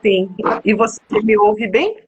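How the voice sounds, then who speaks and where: A woman's voice: medium pitch, lively intonation, measured pace, breathy edge, highs cut off. A woman with a Brazilian Portuguese accent, a psychotherapist guest chatting casually on a live stream. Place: online video call.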